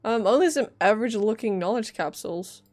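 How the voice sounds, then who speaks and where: A woman with a medium-pitched voice streams a game live. She is casual and playful, muttering jokes to herself as she comments and reads along. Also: lively intonation, fast talker, darkish tone. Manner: playful, joking, muttering to self. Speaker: game livestreamer.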